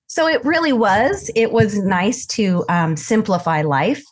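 A woman's voice: mid-range voice, takes it slowly. An older woman from southern Idaho with a mixed American accent, chatting as an interview guest and telling her story with frequent laughs. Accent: mixed American, Idaho and Texas. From southern Idaho, USA. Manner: chatty, animated, laughs often. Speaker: guest, lavender farm owner.

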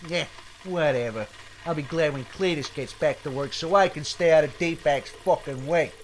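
A man's voice: a little high, normal speed, slight background noise, close to an everyday voice, low-quality recording. A man playing an irritable, foul-mouthed cook in an adult comedy sketch, blunt and grumbling. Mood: grumpy.

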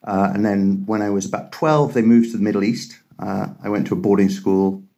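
A middle-aged man with a British English accent, a biotech CEO speaking in a measured, articulate way with frequent pauses in a podcast interview. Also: lowish voice, fairly even intonation, vocal fry, mellow. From Tanzania.

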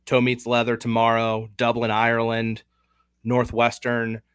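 A man with a head cold co-hosting a casual sports betting podcast, talking through picks in a measured, analytical way. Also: medium pitch, completely flat delivery, a bit bright, smooth, dry recording, studio quality. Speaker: podcast co-host.

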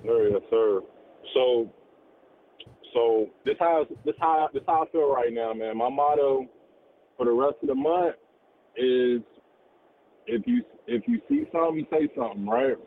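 A man's voice: mid-range voice, animated, measured pace, pauses often. Man, caller, rambling, earnest, muffled phone line, faint hiss. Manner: rambling, many fillers and restarts, earnest. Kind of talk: rambling call-in talk.

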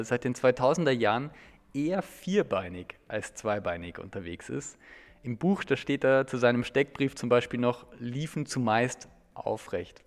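A man's voice: mid-range voice, in a large hall. An Austrian man co-hosting a podcast, talking through a book review in a thoughtful, slightly hesitant conversational way.

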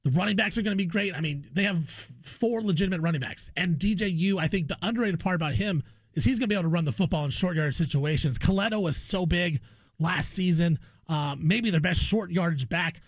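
A man with an American accent and a notably high voice talks sports like a show host. He gives his opinions in a casual, conversational way. The sound is dry, with no room echo. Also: quick pace. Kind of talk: casual sports discussion and analysis.

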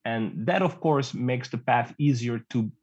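A man's voice: medium pitch, a little slow, slightly rough, dull audio. A man from the Netherlands, a guest concept artist, talking casually in an interview, rambling with lots of fillers and restarts.

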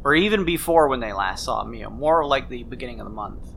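A man's voice: mid-range voice, expressive, brisk, gravelly, a bit bright, a little hiss or hum. A man narrating a tabletop role-playing game as game master, improvising scenes in the second person.

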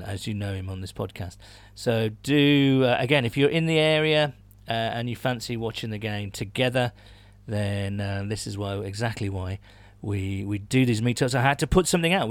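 A man's voice: medium pitch, no room sound. British man hosting a podcast, dry close sound. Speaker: podcast host. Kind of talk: casual sports podcast chat.

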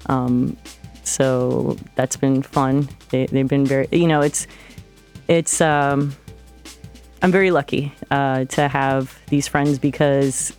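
A woman's voice: extremely low pitch, steady tone, takes it slowly, darkish tone, faint music behind. A woman from Florida, a DJ guest in a casual radio studio interview, talking hesitantly with many fillers and restarts. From Florida, United States.

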